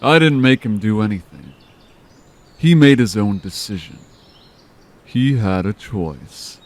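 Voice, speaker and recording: A man voicing a calm, manipulative villain leader who taunts in an unhurried, smooth way in an audio drama. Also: fairly low pitch. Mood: taunting. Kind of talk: amateur audio drama acting.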